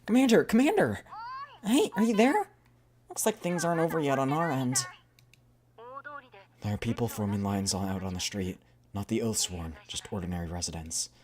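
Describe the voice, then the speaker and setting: A man voicing an excitable, bewildered young female soldier reporting in, in a video game story. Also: mid-range voice, normal speed, pauses often, close to an everyday voice. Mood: urgent. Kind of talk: video game character dialogue.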